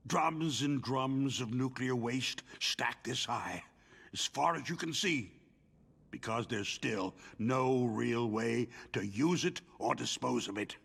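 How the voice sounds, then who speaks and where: An actor playing a weary, wounded, middle-aged arms company executive in a dramatic video game, explaining himself in a halting, deliberate, defensive way. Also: male voice, medium pitch, gravelly, fairly bright tone, husky, full character voice. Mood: grave, explaining.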